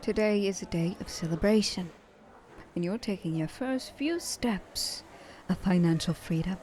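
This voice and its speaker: Woman playing a mellow, flirtatious ancient vampire lady. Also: fairly low pitch, unhurried, a little hiss or hum, lightly acted. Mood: wistful, pleased. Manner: breathy asides, lapses into whispering, formal genteel phrasing. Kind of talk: ASMR roleplay, intimate monologue to listener.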